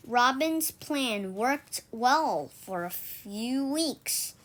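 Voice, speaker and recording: A woman reads a children's story aloud in a fairly high voice. Her pace is very slow and halting. She often trips on a word and says it again before moving on. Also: expressive, a bit bright. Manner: halting delivery, stumbles and repeats words. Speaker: storybook reader for an online read-aloud.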